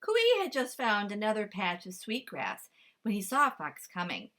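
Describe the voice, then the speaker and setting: A woman librarian reading a picture book aloud to children, with lively, expressive storytelling. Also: mid-range voice, a little slow.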